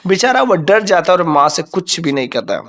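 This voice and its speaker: A man's voice: fairly high pitch, very animated, normal speed, a bit bright, far from the mic. A man narrating a moral folk story in a dramatic, colloquial, wordy flow, repeating phrases for emphasis.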